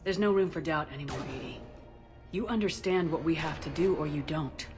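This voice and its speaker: An actress playing a resolute, steadfast military commander in a video game, speaking firmly and directly. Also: female voice, low-pitched, brisk, lightly acted. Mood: firm, challenging. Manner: firm, direct, rallying. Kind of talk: video game dialogue acting. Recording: music playing behind the voice.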